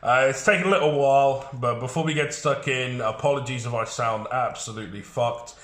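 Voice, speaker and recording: A man's voice: medium pitch, a little fast, fairly bright tone. Give me a congested man with a cold, casually walking through a card deck in a roomy, echoing space. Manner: chatty, rambling with frequent fillers. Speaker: card game deck profile host.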